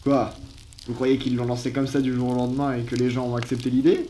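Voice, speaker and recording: A man's voice: mid-range voice, little pitch movement, fast talker, warm tone, roomy sound, a little hiss or hum. A man narrating a scripted ASMR roleplay as a storyteller.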